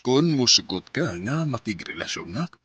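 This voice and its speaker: A husky male club patron with money, speaking with lively swings in pitch. He propositions in Filipino radio drama style. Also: moderate pace, no room sound. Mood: coaxing.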